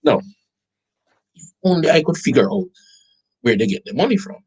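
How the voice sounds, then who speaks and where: A man with a Jamaican accent hosting an online show, reading a government budget aloud and breaking off into incredulous, animated remarks to his viewers. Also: mid-range voice, normal speed, breathy edge, poor audio. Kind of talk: commentary reading out a document.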